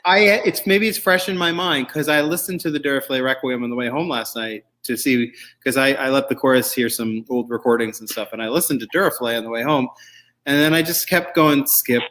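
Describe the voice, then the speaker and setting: A man's voice: medium pitch, brisk, fairly bright tone. A man hosting a casual panel chat about choral music, joking and informal, with strong opinions. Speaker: show host.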